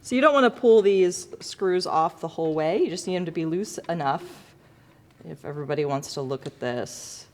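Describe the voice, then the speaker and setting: A woman's voice: low voice, lively intonation, normal speed, darkish tone. A woman teaching a hands-on demonstration to students in an informal, self-correcting, good-humored way, as an instructor. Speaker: lighting design instructor. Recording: echoey reverberant hall, background noise.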